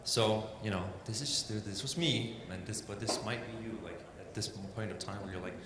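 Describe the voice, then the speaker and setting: A man's voice: fairly low pitch, normal speed. Danish-accented man in an echoey hall. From Denmark. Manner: loose and chatty, many "like" and "um" fillers, self-deprecating. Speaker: illustrator presenting a talk. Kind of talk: informal presentation. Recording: echoey hall with steady background noise.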